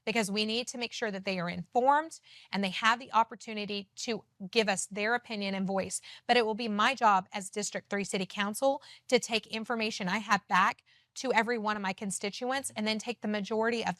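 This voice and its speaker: A woman with a loud voice, speaking as a city council candidate in an earnest, emphatic TV interview. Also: medium pitch, steady tone, fairly quick, dry recording, studio quality. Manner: emphatic, earnest campaign talk. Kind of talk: TV studio interview.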